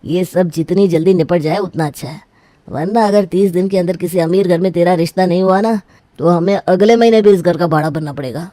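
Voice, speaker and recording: Boastful scheming dad for a story, voiced by a woman, lowish, mellow, pushy. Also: fairly quick, dry recording, close to an everyday voice. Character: scheming, boastful middle-aged father.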